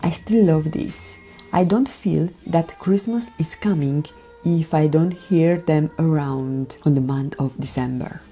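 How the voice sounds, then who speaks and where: A woman from Romania with a Romanian accent, speaking a gentle, careful voiceover for her makeup tutorial, often dropping near a whisper. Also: sits low, unhurried, slightly rough. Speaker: beauty vlogger. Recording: voiceover with background music.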